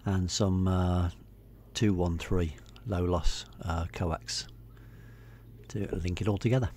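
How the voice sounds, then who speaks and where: A middle-aged man with an English accent giving a casual, unscripted voice-over walkthrough, hesitating and pausing often. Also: low-pitched, fairly even intonation, a little mellow, a little hiss or hum. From England. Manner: hesitant, unscripted delivery with many pauses. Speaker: hobbyist video narrator.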